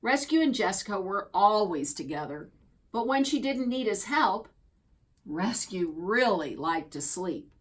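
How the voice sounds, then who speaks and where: A woman reading a children's picture book aloud as a storyteller, expressively and deliberately, drawing out key words. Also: mid-range voice, animated, breathy edge. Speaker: storybook reader.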